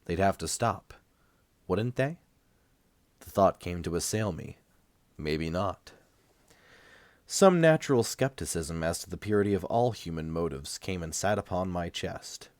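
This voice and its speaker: A man with a low voice narrates a novel in the first person. He is recovering from a cold and reads in a measured way with dramatic pauses. The sound is dry and close. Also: darkish tone, no echo. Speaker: amateur audiobook reader.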